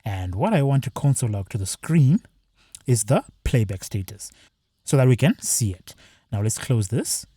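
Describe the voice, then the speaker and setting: A man presenting a software tutorial, explaining each step plainly as he walks through a screen-share. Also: mid-range voice, sing-song, normal speed, slightly rough, no room sound.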